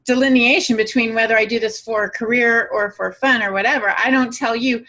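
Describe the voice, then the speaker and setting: A woman with a fairly high, bright, forward voice chats as a podcast co-host. She tells personal stories casually, slipping into quoted remarks as she goes. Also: fairly even intonation, brisk. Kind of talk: casual anecdotal chat.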